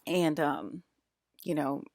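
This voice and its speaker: A woman's voice: low-pitched, lively intonation, takes it slowly, darkish tone, no room sound, clean studio sound. A woman in her fifties talking straight to her viewers in a rambling, confrontational monologue, full of fillers and swearing. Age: 50s. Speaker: video blogger.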